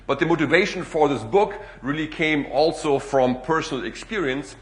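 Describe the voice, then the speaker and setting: A middle-aged man from Germany with a German accent, giving a relaxed public lecture as a guest speaker and filling pauses with "uh" and "you know". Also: fairly high pitch, echoey room. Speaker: guest speaker, economics professor.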